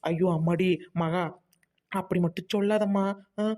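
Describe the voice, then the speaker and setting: A woman's voice: fairly low pitch, fairly even intonation, moderate pace, dark tone, natural voice. A woman voicing a kind village mother-in-law who fusses anxiously over her new daughter-in-law. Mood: tender, fretful. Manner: affectionate, anxious to please.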